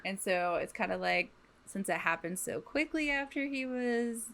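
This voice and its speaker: A woman's voice: medium pitch, lively intonation, normal speed, creaky, fairly bright tone. A woman co-hosting a podcast, telling a true crime story from notes in an informal, slangy way with some swearing. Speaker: podcast co-host.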